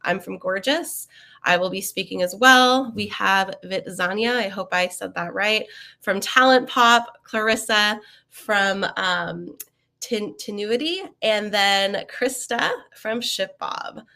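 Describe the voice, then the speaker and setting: Bright, upbeat woman hosting a webinar. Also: medium pitch, slow pace. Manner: upbeat, chatty, many ums and uhs. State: sniffly, getting over a cold. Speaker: webinar host.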